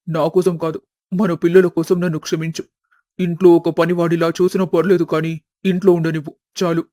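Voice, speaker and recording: A man's voice: fairly high pitch, darkish tone, no echo, studio quality, natural voice. A man playing a remorseful middle-aged husband and father in a family story, humble and earnest.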